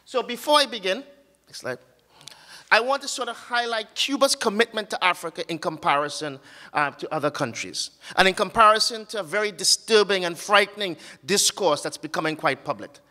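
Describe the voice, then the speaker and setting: A man giving an academic conference talk with slides, earnest and halting, often pausing on fillers and restarting his sentences. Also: high voice, pushed and bright, long reverb tail. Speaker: conference speaker. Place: conference hall.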